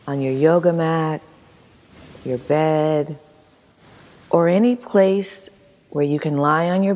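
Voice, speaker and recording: A woman leading a guided meditation as instructor, reading in a soothing, measured manner with long pauses. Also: sits low, darkish tone. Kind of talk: guided meditation, scripted narration. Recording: long hall-like reverb.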